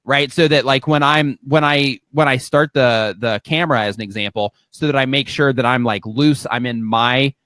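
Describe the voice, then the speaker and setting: A man co-hosting a live show, chatting casually in a halting, rambling way with repeated words. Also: medium pitch, fairly bright tone, no room sound. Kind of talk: casual, rambling advice chat.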